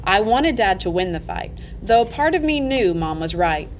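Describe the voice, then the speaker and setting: A woman reading a children's novel aloud in an animated, emphatic storybook style, acting out the dialogue. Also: medium pitch, normal speed, slight background noise. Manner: expressive, emphatic, acts out dialogue.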